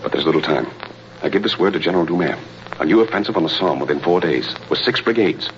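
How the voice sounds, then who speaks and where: Male colonel and secret agent, low-voiced, solemn, theatrical, played by an actor; muffled vintage radio drama. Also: fast, close to an everyday voice. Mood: grave, tender. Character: grave, devoted spy posing as German colonel. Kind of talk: 1930s radio melodrama acting. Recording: muffled, noisy old radio broadcast.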